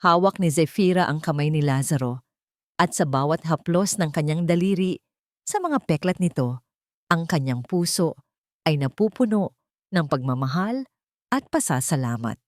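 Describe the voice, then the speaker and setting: Low-voiced woman narrating, dry sound. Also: animated, moderate pace, darkish tone, dry recording. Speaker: storyteller, narrator. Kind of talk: scripted dramatic narration of a sentimental love story.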